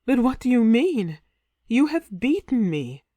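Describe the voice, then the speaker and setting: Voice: mid-range voice, big pitch swings, a little slow, mellow, no room sound, voice pushed up. A storyteller voicing a passionate, immortal warrior queen, in a raised, ardent tone, in a storybook reading. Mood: eager. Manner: pleading, ardent.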